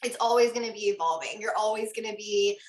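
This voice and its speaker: A woman's voice: medium pitch, steady tone, quick pace. A woman hosting a podcast interview, chatting in a gushing, filler-heavy way. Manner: effusive, filler-heavy, lots of "like". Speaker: podcast host. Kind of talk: casual interview chat. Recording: echoey room sound.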